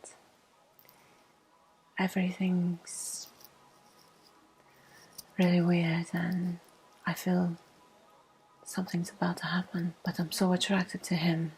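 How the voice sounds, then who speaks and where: A woman telling a personal story as an ASMR host in a breathy, hushed, mostly whispered voice with slow pauses. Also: lowish voice, monotone, poor audio.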